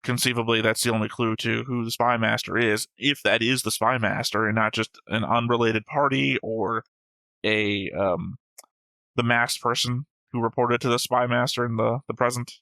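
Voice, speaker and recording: A man's voice: mid-range voice, steady tone, normal speed, creaky, pushed and bright, no room sound, poor audio. A man co-hosting a reaction show, talking casually and analytically through an anime episode in an explanatory way.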